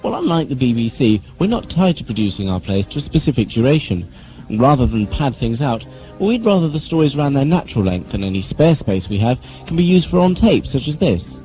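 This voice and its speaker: Mellow, animated male announcer. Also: medium pitch, fairly quick, soft background music, poor audio. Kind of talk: scripted presenting of listener letters.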